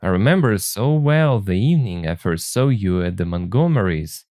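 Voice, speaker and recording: A man reading aloud the part of an insistent, commanding suitor in a story, earnest and deliberate. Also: low voice, lively intonation, darkish tone, no room sound, clean studio sound, pitched below the performer's own voice. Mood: pleading. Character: masterful, insistent suitor pleading for marriage. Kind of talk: story read aloud for learners.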